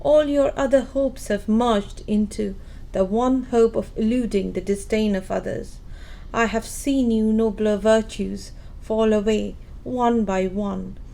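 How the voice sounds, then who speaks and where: Woman playing a mellow, sorrowful young fiancée. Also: mid-range voice, creaky, rough recording. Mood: sad reproach. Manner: earnest, measured. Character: sorrowful, earnest young fiancée, gently reproachful. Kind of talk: amateur audio play acting.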